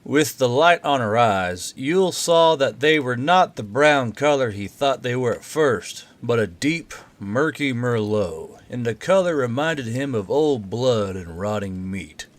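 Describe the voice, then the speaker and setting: A man's voice: medium pitch, expressive, a little slow, hardly any pauses. A man narrating a story in audiobook style as the host and reader.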